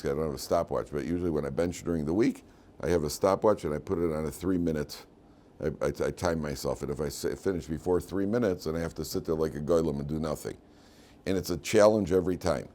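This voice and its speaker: An American man with a hoarse voice giving an informal Torah lesson as a rabbi, haltingly, often repeating words and restarting. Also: very deep voice, warm tone. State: hoarse from medication.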